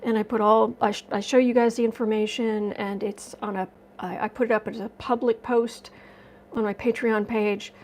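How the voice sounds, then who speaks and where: A woman hosting a casual livestream, chatty and rambling as she reads viewer comments, her voice slightly raspy from dust allergies. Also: a little high, flat delivery, moderate pace, darkish tone, slight background noise. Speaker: tarot and astrology livestream host.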